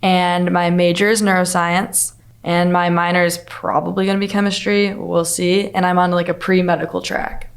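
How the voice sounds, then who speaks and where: A woman's voice: fairly low pitch, level tone, moderate pace, vocal fry. A young woman around twenty from the Chicago suburbs, casually introducing herself. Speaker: scholarship student.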